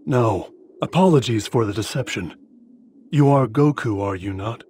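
An actor playing a stoic, coldly formal alien assassin in a video game, speaking in terse, measured lines. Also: male voice, medium pitch, darkish tone, a little hiss or hum, lightly acted. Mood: calm, stoic. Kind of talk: English video game dub acting.

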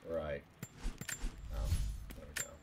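A man's voice: extremely low pitch, level tone, takes it slowly, very noisy. A man live streaming a game, chatting casually in a rambling, jokingly dramatic way. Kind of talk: casual gaming livestream chat.

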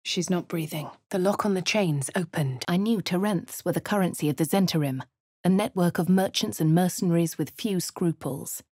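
Posh English heroine: composed, haughty, husky low tone, dry studio sound. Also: female voice, normal speed, a little mellow, no echo. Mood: measured. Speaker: player character. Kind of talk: video game voice lines and narration.

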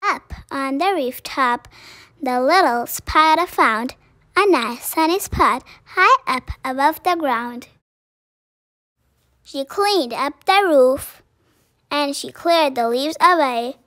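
A child reading a picture book aloud in a careful, deliberate way. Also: high voice, lively intonation, sharp tone, dry recording. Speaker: story reader.

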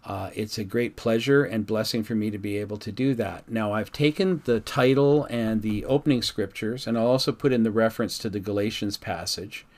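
A man speaking as a pastor, reading a devotional in a measured, earnest, formal way. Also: lowish voice, a little mellow. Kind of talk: devotional reading with reflective address to listeners. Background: background music.

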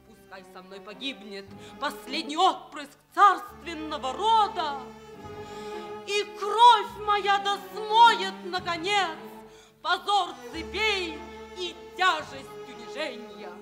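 An actress playing a proud, jealous, despairing enslaved princess in declamatory radio theatre. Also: female voice, extremely high pitch, animated, a bit bright, faint music behind, exaggerated voice. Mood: bitter, proud. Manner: declamatory, impassioned, drawn-out delivery. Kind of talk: theatrical radio stage acting.

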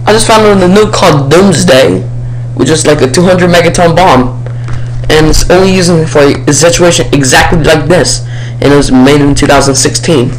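A man's voice: fairly high pitch, moderate pace, close to an everyday voice. A man playing an urgent military adviser to the president in a homemade audio drama. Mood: indignant, urgent. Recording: noisy homemade recording with steady hum.

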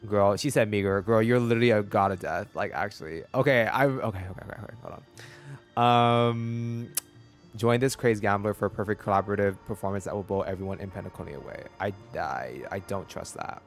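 A man's voice: lowish voice, brisk. A young man chatting casually on a gameplay livestream in a slangy, rambling, playful way. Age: young adult. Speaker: video game streamer. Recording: faint game music behind.

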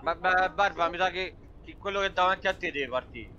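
A man's voice: sits high, fairly quick, pushed and bright, muffled recording, slight background noise. A man in casual gaming chat, directing others and repeating his instructions. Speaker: online truck-convoy gamer organizing the group.

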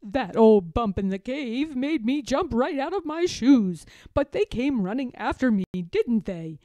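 An excitable little goblin from a children's storybook, played by a woman. He exclaims with emphasis and very lively swings of pitch. The tone stays mellow. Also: a little slow, no room sound. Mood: relieved, fond. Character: excitable little male goblin, relieved and affectionate.

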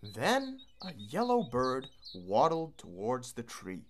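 Slow, very expressive woman reading a storybook. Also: very deep voice. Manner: measured, singsong rhyming delivery. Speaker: children's book reader. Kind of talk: expressive storybook read-aloud.

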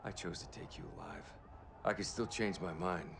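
A man's voice: sits low, huge pitch swings, moderate pace, gravelly, noisy background, full character voice. A man playing a laconic American special operative in his thirties in a video game, terse and understated. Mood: threatening. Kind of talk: dramatic video game cutscene acting. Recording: music over voice.

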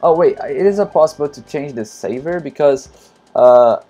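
A man from Brazil whose first language is Portuguese, chatting casually in halting English with many fillers and frequent laughs while streaming a game. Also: fairly high pitch, expressive, warm tone, slightly rough, muffled recording, faint music behind. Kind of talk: casual live-stream commentary.

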